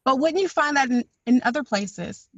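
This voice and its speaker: A woman's voice: high-pitched, lively intonation, normal speed, a bit bright, no echo. A woman hosting an interview, asking curious, probing questions. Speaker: interview host. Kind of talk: conversational interview. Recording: dry, dull lo-fi audio.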